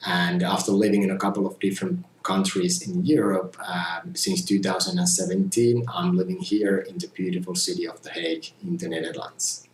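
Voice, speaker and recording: Low-voiced man, echoey room. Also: little pitch movement, a little hiss or hum. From Finland. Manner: measured, earnest, frequent "you know" fillers. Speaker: community tester. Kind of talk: casual testimonial talk. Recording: roomy echo with faint ambience.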